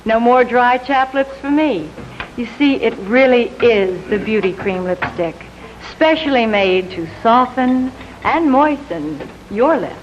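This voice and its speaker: An American woman giving a polite, rehearsed 1950s television commercial pitch. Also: expressive, unhurried. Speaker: sponsor's presenter on a game show. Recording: dull 1950s television sound, faint noise.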